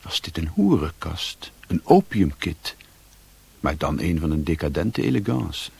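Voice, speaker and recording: A brooding adventurer telling his own story in a radio drama. His voice is low and creaky with a husky rasp, literary and world-weary. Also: very animated, measured pace, darkish tone, no echo, exaggerated voice. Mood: brooding, reflective. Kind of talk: scripted first-person radio drama narration.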